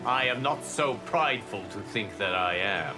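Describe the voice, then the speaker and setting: An actor voicing a calm, grave god who guards time and the realms in a video game, speaking in measured, formal phrases. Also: male voice, lowish voice, lightly acted, low-quality recording. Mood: grave, regretful. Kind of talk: video game character dialogue. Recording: game dialogue under loud music.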